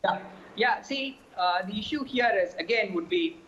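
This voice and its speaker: A man's voice: high-pitched, normal speed, a bit bright. Man, emphatic debate guest, muffled lo-fi line with light hiss. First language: Tamil. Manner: emphatic, speech-like. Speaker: party representative. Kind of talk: TV debate argument. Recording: dull lo-fi remote feed with faint noise.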